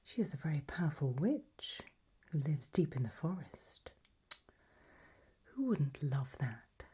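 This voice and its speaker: A woman playing an elegant, macabre aristocratic lady in a whispered ASMR roleplay, breathy, languid and serenely gracious. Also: sits low, very animated, darkish tone, exaggerated voice. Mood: gracious, darkly pleased. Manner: slow, languid, genteel.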